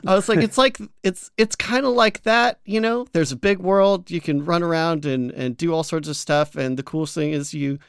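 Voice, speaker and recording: A man co-hosting a podcast in casual chat, speaking haltingly and often restarting his sentences. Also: fairly high pitch, clear, resonant tone, dry recording, studio quality. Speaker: podcast co-host.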